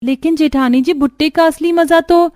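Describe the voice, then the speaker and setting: A woman voicing a gentle, dutiful young daughter-in-law in a cartoon story, earnest and pleading. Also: high-pitched, fairly even intonation, moderate pace, pitched above the performer's own voice, close to an everyday voice. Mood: apologetic, eager. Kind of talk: Hindi cartoon story dialogue.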